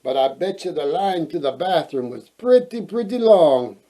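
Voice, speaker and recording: A man reading a children's fairy tale aloud in a playful, expressive way, adding joking asides. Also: on the high side, normal speed. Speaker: storyteller, host.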